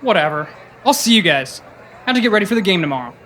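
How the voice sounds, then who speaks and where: Man playing a high, bright teenage boy. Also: big pitch swings, quick pace, a little hiss or hum. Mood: defensive. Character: eager, defensive teenage boy athlete, sheriff's son. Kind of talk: scripted audio drama acting.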